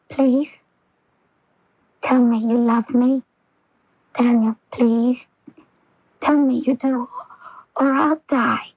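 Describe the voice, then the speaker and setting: A woman's voice: high-pitched, no room sound, exaggerated voice. A woman playing an earnest, lovelorn young woman in a 1980s radio drama, intense, vulnerable and pleading. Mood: pleading, lovesick. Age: young adult. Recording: dull lo-fi 1980s AM radio recording.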